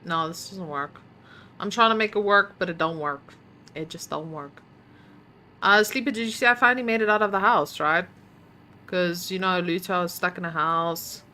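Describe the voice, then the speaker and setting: A woman's voice: lowish voice, moderate pace, sharp tone, a little hiss or hum. A woman streamer casually commenting on her game, muttering to herself while solving puzzles and chatting with viewers.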